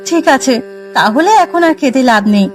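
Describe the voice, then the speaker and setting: A woman's voice: sits high, lively intonation, normal speed. A woman playing a concerned, practical village housewife in a cartoon, speaking in an earnest way. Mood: resolute. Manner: earnest, questioning. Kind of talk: Bengali cartoon story acting.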